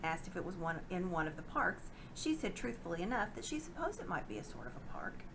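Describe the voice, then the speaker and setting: A woman reading a children's novel aloud in a dramatic, expressive narrating style. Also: on the low side, a little fast, noisy background. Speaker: storybook reader.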